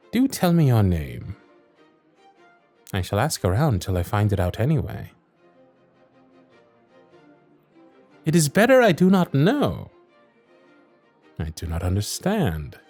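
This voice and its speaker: An actor playing a wry, honourable young Victorian lord, charming and a little vain, speaking formal, courtly in a romantic audio roleplay. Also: male voice, fairly low pitch, huge pitch swings, measured pace, pauses often, darkish tone, soft background music, full character voice. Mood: teasing, charmed. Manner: formal, courtly, old-fashioned diction.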